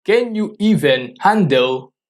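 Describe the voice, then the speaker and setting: A man's voice: on the high side, animated. Earnest man coaching listeners. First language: Tagalog. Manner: earnest, coaching, asks listeners rhetorical questions; halting, restarts sentences often. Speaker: podcast host. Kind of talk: motivational solo podcast talk.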